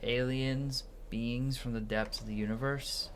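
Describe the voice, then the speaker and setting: A mid-pitched voice reads video game dialogue aloud as a narrator voicing the characters. The delivery is halting, with stumbles and restarts, and there is some noise behind it. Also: fairly even intonation.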